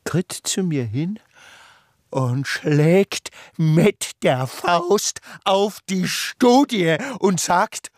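An actor playing an obsessed, despairing older man, a failed scholar, in a halting, repetitive literary radio drama monologue that swells into outbursts. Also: sits high, animated, dry recording, clean studio sound, exaggerated voice. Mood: horrified, agitated.

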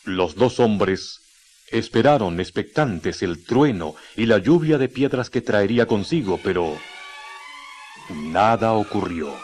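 Deep-voiced solemn Chilean male narrator. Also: expressive, slow, darkish tone, husky. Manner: solemn, literary. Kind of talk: scripted dramatic storytelling narration for radio. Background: music.

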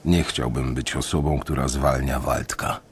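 A man narrating in the first person in a radio drama, measured and reflective. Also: extremely low pitch, steady tone, slight background noise.

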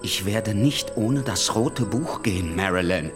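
An actor in a German radio drama playing a powerful, menacing politician, speaking in slow threats. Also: male voice, very high voice, over music. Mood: cold threat.

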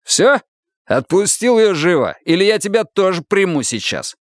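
A man voicing a crazed young male plainclothes drug cop who bullies and shouts threats. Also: high-pitched, very animated, a little slow, dry recording, studio quality, voice pushed up, exaggerated voice. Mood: shouting, aggressive.

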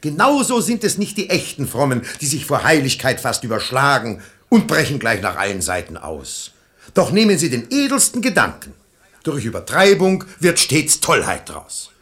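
An actor playing a reasonable, ironic middle-aged brother-in-law in a German verse radio drama, eloquent and argumentative. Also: male voice, mid-range voice, huge pitch swings, normal speed, a bit bright. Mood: indignant. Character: sensible, ironic brother-in-law reasoning against false piety.

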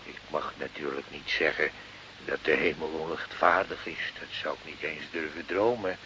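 A man's voice: rumbling low, steady tone, moderate pace, sharp tone. An actor playing a fatherly, pious village priest in a radio drama, with a solemn, unctuous way of speaking. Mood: pious, wistful. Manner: unctuous, solemn, hesitant when surprised. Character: pious Italian village priest, fatherly, grateful, gently scheming. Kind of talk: Dutch radio drama acting. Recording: old hissy, muffled radio recording.

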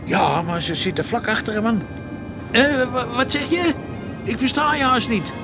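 An actor playing an eager, cheeky police sergeant in a radio drama, excitable and informal. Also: male voice, very high voice, moderate pace, music in the background. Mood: urgent.

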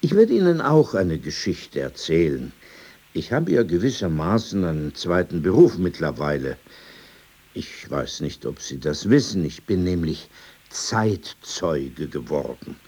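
Radio play: old ex-editor, mellow and rough-edged, wry, dismissive, opinionated storyteller. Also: male voice, low-pitched, very animated, measured pace, exaggerated voice. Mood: ironic reminiscing. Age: older. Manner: sardonic, digressive, dismissive. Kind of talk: radio drama dialogue.

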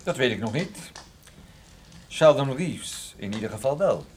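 An actor playing a wry, unflinching private detective in radio play dialogue, with a dry, sardonic manner. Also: male voice, medium pitch, animated, unhurried, a little mellow, slight background noise, natural voice. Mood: guarded.

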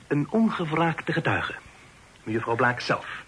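An actor playing a witty young brother in a period radio play, bantering with mock pomposity and old-fashioned phrasing. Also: male voice, on the high side, animated, measured pace, fairly bright tone. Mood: mock-stern. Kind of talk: Dutch radio play acting. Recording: noisy old radio drama recording.

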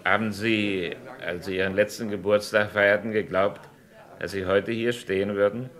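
An actor playing a genteel, concerned doctor and old friend in a crime radio play, polite, measured and dryly probing. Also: male voice, fairly low pitch, fairly even intonation, fairly bright tone, natural voice.

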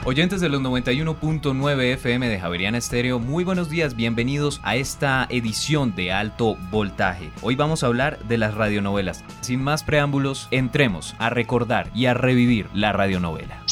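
A man's voice: mid-range voice, animated, moderate pace, hardly any pauses, over music. A Colombian man presenting a radio program as its host, in scripted style.

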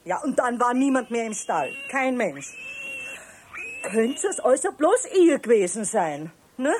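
A brisk Bavarian farm mother. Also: fairly high pitch, very animated, noisy background. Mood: scolding. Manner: brisk, scolding. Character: strict Bavarian farmer's wife and mother.

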